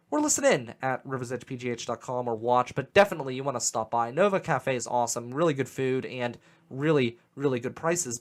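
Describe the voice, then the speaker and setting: Animated Pittsburgh radio host, opinionated. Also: male voice, medium pitch. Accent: Western Pennsylvania. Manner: animated, opinionated, repeats words when excited. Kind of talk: casual radio talk. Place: radio studio. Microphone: studio microphone.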